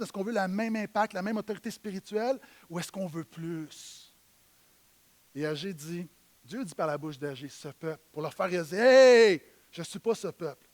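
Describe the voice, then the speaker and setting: High-voiced Québécois pastor preaching. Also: male voice. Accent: Québécois French. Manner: emphatic preaching, swinging from loud to low. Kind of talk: sermon. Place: church.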